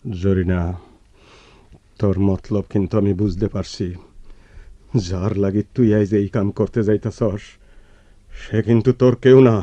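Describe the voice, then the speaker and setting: Man acting an earnest, mellow-voiced village brother. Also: lowish voice, fairly even intonation, a little slow, pauses often, no room sound, close to an everyday voice. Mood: reproachful. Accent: rural Bangladeshi dialect. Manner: earnest, emotional pleading. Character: protective, reproachful village older brother. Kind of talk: Bengali radio drama acting. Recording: dull, lo-fi radio drama recording.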